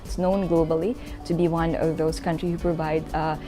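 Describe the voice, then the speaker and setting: A woman's voice: low-pitched, little pitch movement, a little fast. A young woman with a Filipino accent, a master's student giving a promotional testimonial. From Philippines. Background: background music.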